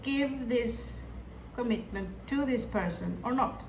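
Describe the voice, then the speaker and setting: Slow echoey tarot-reading woman. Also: on the high side, darkish tone. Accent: Hindi-influenced. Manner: musing, hesitant, talks to the viewer directly. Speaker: tarot reader. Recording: echoey room, distant mic, background noise.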